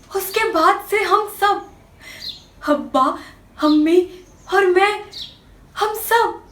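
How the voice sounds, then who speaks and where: A woman acting an anguished young woman confessing a secret in a dramatic stage monologue, halting and sorrowful with long pauses. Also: sits high. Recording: echoey room, distant microphone.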